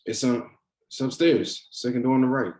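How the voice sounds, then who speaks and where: An actor playing an easygoing, affectionate young grandson and fiancé in a table read, speaking casually and a little hesitantly. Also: male voice, mid-range voice, normal speed, darkish tone, lightly acted. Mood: concerned. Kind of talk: virtual table read acting. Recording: echoey room on a remote call.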